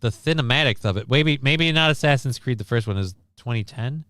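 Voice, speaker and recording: A man's voice: mid-range voice, animated, fairly quick, fairly bright tone, no echo. A man hosting a livestream, giving casual, profane movie commentary in a blunt, sarcastic, ranting manner. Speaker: livestream host.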